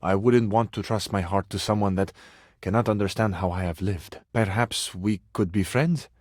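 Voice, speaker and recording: A man's voice: fairly low pitch, fairly even intonation, normal speed, a little mellow, dry recording, clean studio sound, natural voice. A man voicing a passionate, suave Italian cabinet for a video game, with florid, theatrical delivery and an Italian accent. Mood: sorrowful, confessional. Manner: florid, dramatic, lingering on words. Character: passionate, melodramatic, romantic Italian cabinet, male, suave dreamer.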